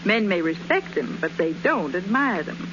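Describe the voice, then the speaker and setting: A woman's voice: fairly low pitch, big pitch swings, normal speed. A woman playing a chatty, earnest married woman giving advice in a 1930s radio advertisement. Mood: earnest, confiding. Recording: muffled, hissy 1930s radio recording.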